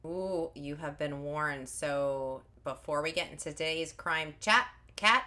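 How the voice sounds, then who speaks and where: A woman co-hosting a true crime podcast, chiming in with quick, encouraging reactions during casual chat. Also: deep voice, bright, forceful tone. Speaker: podcast co-host.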